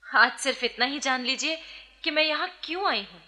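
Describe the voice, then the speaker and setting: An enigmatic young court dancer in a radio play. Her voice is bright and a little high. She is bold and poised, with a teasing, pointed turn to her theatrical phrasing. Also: moderate pace. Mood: coy, teasing. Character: bold, enigmatic young dancing girl in love.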